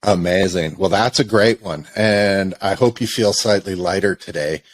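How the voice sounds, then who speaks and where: A Canadian man hosting a podcast in a studio, talking in an energetic, joking, confessional way. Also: low-pitched, normal speed, no room sound. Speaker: podcast host. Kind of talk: casual podcast chat.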